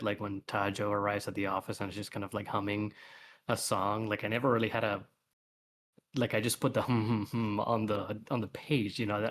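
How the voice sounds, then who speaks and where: A man chatting informally as a guest author, thinking aloud in halting bursts. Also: lowish voice. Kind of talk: casual chat. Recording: faint background noise. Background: faint ambient noise.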